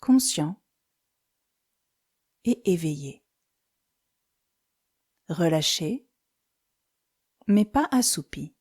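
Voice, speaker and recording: A woman leading a guided yoga nidra meditation as an instructor, speaking calmly and soothingly in short phrases with pauses. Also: on the low side, breathy edge.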